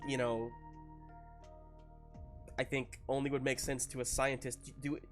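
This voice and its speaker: A man acts as game master for a tabletop roleplaying game, with faint music behind him. His voice sits at a middling pitch. He improvises his narration informally, feeling his way haltingly through each explanation. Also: level tone.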